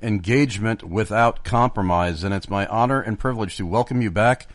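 A man's voice: lowish voice, fairly quick, no echo. A man hosting a live radio interview from a studio, chatty and full of fillers. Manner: loud greeting, then chatty with many fillers. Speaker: radio talk show host.

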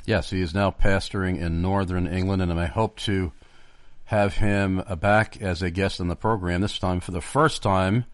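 A man's voice: low voice, steady tone, normal speed, no room sound. A man hosting a live radio interview from a studio, chatty and full of fillers. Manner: loud greeting, then chatty with many fillers. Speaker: radio talk show host.

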